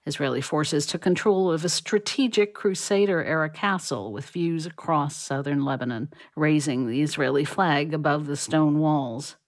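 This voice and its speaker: Woman, correspondent, news report, very low voice, even delivery. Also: normal speed, few pauses. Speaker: foreign correspondent.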